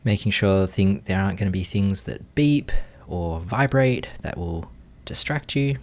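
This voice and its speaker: A man leading a guided meditation, giving gentle, calm instructions in measured phrases with long pauses. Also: low voice. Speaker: meditation teacher.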